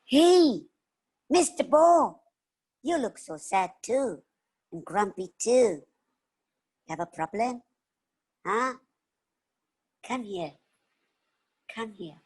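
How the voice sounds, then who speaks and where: A woman's voice: on the high side, lively intonation, slow, long pauses, a little mellow, breathy edge, pitched above the performer's own voice, exaggerated voice. A woman voicing a kind, bold little girl in a children's tale, coaxing and cooing affectionately. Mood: soothing.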